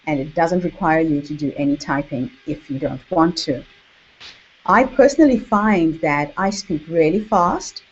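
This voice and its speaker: A woman with a Zimbabwean accent hosts an online workshop, speaking in a measured, deliberate way with emphatic repetition. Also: lowish voice. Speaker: workshop host and author coach. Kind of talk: online workshop presentation to camera. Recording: dull, lo-fi webinar audio.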